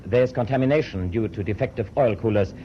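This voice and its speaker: An actor playing a matter-of-fact German chief engineer in a radio drama, deferential and subdued. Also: male voice, lowish voice, brisk, close to an everyday voice. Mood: cautious, factual. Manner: deferential, plain technical reporting. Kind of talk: BBC radio drama acting. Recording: muffled old radio tape, faint noise.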